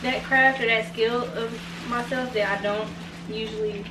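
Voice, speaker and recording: A young woman with a soft, slightly high voice talks casually, as if to friends over a meal. She sounds away from the mic in an echoey room, with cutlery and dishes around her. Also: fairly even intonation, measured pace. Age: young adult. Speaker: vlog host and creator. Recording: distant, echoey room with loud noise.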